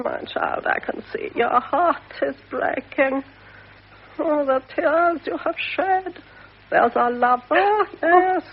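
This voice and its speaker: Scheming female fortune teller, high voice, British accent, cooing then imperious, muffled vintage radio play. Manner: theatrical, cooing, coaxing and imperious by turns. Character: shrewd, manipulative female fortune teller and potion seller. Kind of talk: 1950s British radio drama acting. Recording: muffled 1950s radio recording.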